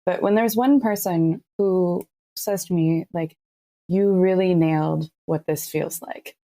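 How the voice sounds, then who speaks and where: A woman's voice: lowish voice, a little slow, mellow. A woman giving earnest, thoughtful reflections as an artist in a conversational interview. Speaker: artist and podcast creator.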